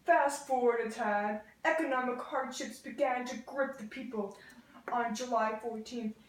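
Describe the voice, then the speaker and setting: High-voiced young woman, slightly distant and echoey. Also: unhurried, a little hiss or hum. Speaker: student presenter. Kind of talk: scripted educational puppet show narration.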